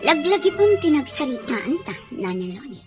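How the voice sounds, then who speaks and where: A woman's voice: high voice, sing-song, normal speed, music in the background. A woman acting a well-off, emotional, commanding wife in a melodramatic audio drama. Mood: bossy. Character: well-off jealous wife, lady of the house.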